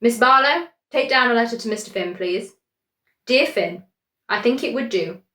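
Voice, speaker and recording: A woman voicing a brisk male newspaper editor who dictates loudly in a flat, lifeless voice. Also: on the high side, reverberant. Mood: curt. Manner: loud, lifeless, every syllable alike.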